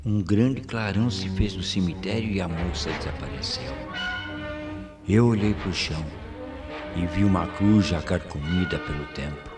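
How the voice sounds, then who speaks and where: An actor playing an old country colonel with a Brazilian accent, spinning an eerie tale with folksy suspense in a radio mystery drama. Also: male voice, low voice, moderate pace, over music, natural voice. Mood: hushed, grave. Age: older.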